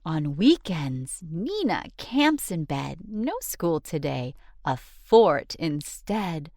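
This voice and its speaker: A woman reading a rhyming children's book aloud in an expressive, sing-song storyteller's delivery. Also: sits low, slow, dry recording, studio quality.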